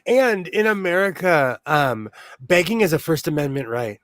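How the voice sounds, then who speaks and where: A man's voice: fairly high pitch, expressive, moderate pace, fairly bright tone, dry recording. A man from Southern California co-hosting a live sewing stream, telling stories in a casual chat and laughing often. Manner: chatty storyteller, laughs often.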